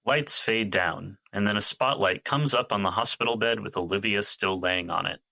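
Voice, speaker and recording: A male narrator reads scripted stage directions. His voice is deep, with a very bright, forceful edge. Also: normal speed, creaky.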